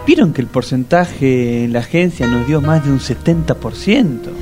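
An actor playing a chatty, teasing friend on a double date in a comic radio play. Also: male voice, mid-range voice, expressive, moderate pace, over music, lightly acted. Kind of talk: radio comedy drama acting.

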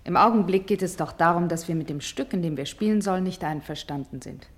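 A woman's voice: on the low side, fast, lightly acted. A woman playing a proud, disdainful stage actress in a German radio play, speaking in a dry, haughty way. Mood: reproachful, proud.

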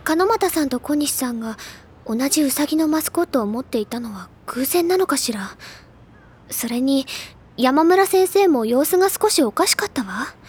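High-pitched inquisitive schoolgirl. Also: darkish tone. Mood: thoughtful, deducing. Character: inquisitive, polite high school girl, quietly sleuthing. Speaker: character in audio drama. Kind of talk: Japanese audio drama acting.

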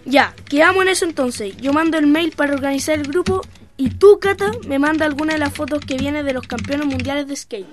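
An eager young schoolkid voiced by a woman speaks in a high voice with a Chilean accent. The talk is colloquial and youthful, in the manner of an educational radio play, over light music. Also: brisk, close to an everyday voice. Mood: planning. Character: eager schoolchild fascinated by aliens. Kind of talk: scripted educational radio drama.

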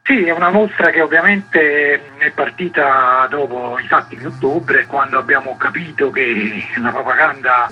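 A man's voice: mid-range voice, bright, forceful tone, rough recording. A man who works as a comics curator, answering radio interview questions in an earnest, thoughtful way. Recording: radio broadcast with music.

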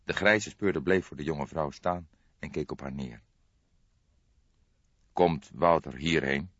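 A man's voice: a bass voice, normal speed, fairly bright tone, dry recording, highs cut off. A man reading a crime novel as scripted audiobook narration.

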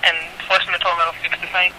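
A woman's voice: fairly low pitch, little pitch movement, fast, sharp tone. A woman, a hotel guest, complaining on the phone, polite but growing exasperated. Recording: noisy phone line.

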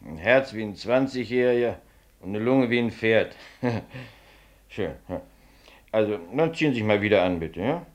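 An actor playing a brisk company doctor in a German radio play, clipped and casually authoritative, hemming between questions. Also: male voice, lowish voice, animated. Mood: jovial, probing. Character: brisk, routine-minded male company doctor.

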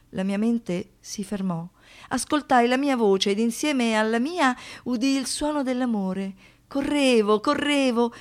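High-voiced woman in dramatic theatrical recitation. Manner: deliberate, repeats phrases for poetic effect. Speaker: monologue performer.

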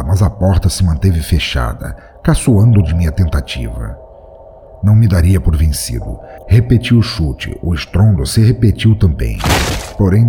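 A man narrating a first-person horror story in a measured, grave way. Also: low voice.

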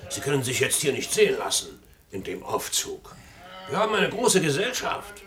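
An actor in a radio play playing a fussy, gossipy manservant with an officious manner. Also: male voice, medium pitch, very animated, a bit bright. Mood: alarmed, urgent. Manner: brisk, officious. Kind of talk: German radio play acting. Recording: busy crowd murmur behind.

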